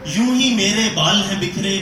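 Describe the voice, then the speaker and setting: A man giving a dramatic Urdu poetry recitation in a theatrical, deliberate way, pausing and repeating lines. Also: high voice. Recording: echoing hall, distant mic, audience noise.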